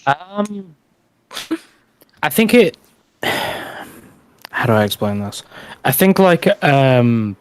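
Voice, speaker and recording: A man's voice: mid-range voice, sing-song, drawn-out words, pauses often, a little hiss or hum. A man from the UK chatting casually on a livestream, explaining himself in a hesitant, hedging way. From United Kingdom.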